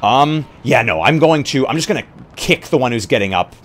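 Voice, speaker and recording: A man chatting casually as a tabletop roleplay player, thinking out loud and swearing as he reacts. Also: medium pitch, animated, fairly quick, slight background noise. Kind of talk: casual game chat.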